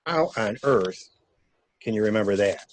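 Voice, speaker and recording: A man in an amateur mystery drama reading, playing a cool-headed gentleman stranger who briskly and wryly takes charge of a cover-up. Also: on the low side, expressive, a little hiss or hum, natural voice. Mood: brisk, calculating.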